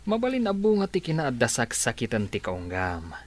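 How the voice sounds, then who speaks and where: A man playing a calm friend who gives steady advice in a radio drama. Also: medium pitch, moderate pace. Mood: advising.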